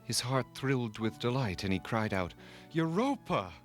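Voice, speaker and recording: Low-voiced male storyteller, dramatic and measured. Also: a bit bright, soft background music. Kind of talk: scripted children's myth narration.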